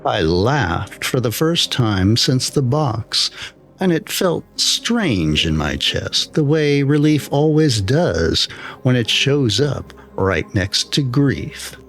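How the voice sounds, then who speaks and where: A man's voice: mid-range voice, sing-song, slow, fairly bright tone. A man narrating a scripted first-person horror story as a storyteller. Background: music.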